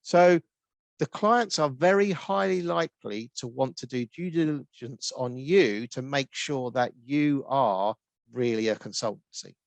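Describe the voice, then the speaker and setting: A man with a Norwich accent, answering webinar questions as a guest expert with brisk explanations full of ums. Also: mid-range voice, vocal fry, no room sound. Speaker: guest expert on tax rules.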